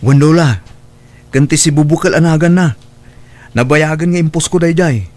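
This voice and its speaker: A man's voice: on the high side, normal speed, a little mellow, natural voice. A man playing a devoted, earnest young son in a radio drama, with a pleading manner. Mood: determined.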